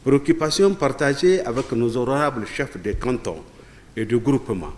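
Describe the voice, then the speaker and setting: Mid-pitched man, ceremonial official speech. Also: lively intonation, moderate pace. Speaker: regional government official.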